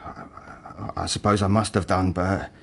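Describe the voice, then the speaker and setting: An actor playing an embittered, broken working man making a halting, emotionally raw confession in a radio drama. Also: low voice, little pitch movement, vocal fry, full character voice. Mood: subdued, halting. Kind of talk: naturalistic radio drama acting.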